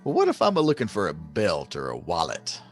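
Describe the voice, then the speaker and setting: A naive rustic customer in a comic radio sketch. His voice is on the low side and very animated, with a quiet guitar behind it. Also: brisk, heavily acted. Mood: puzzled. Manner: rustic, puzzled questioning. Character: naive, curious country cowboy customer. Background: plucked guitar music.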